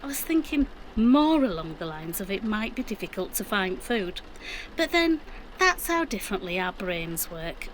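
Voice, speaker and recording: A woman's voice: mid-range voice, big pitch swings, moderate pace, a little hiss or hum, lightly acted, low-quality recording. A middle-aged woman playing a defensive, practical mum in an audio drama, with a Yorkshire accent and matter-of-fact delivery. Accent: Yorkshire English. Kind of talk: naturalistic audio drama acting.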